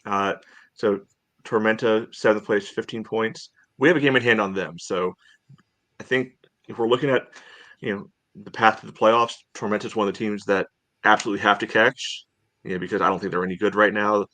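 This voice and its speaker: A man co-hosting a soccer podcast in casual discussion, thinking aloud in a halting way. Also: on the low side, fairly even intonation, bright, forceful tone.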